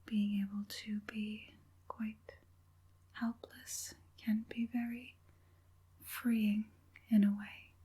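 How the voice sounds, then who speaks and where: A woman voicing an evil witch puppet master in an ASMR roleplay, mostly whispering in a breathy, deliberate, murmuring way. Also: mid-range voice, flat delivery, mellow. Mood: hushed, probing. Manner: slow, deliberate, murmuring assent between pauses.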